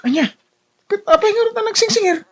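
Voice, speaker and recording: A woman's voice: very high voice, expressive, brisk. A woman playing a concerned, guarded grown daughter in a radio drama, with an Ilocano accent. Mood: concerned, questioning. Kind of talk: Filipino podcast radio drama acting.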